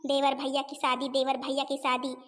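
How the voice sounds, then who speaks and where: Cartoon mother-in-law, played by a woman: middle-aged, domineering, high voice, mocking repeats. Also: completely flat delivery, moderate pace, close to an everyday voice. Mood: scolding, sarcastic. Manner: scolding, taunting, repeats phrases mockingly. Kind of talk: family comedy cartoon dialogue.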